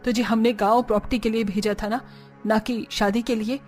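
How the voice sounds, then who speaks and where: A woman's voice: on the high side, flat delivery, moderate pace, darkish tone, faint music behind, natural voice. A woman voicing a snobbish, scornful, rich mother-in-law in a cartoon story. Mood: scornful, outraged. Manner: scornful, sneering.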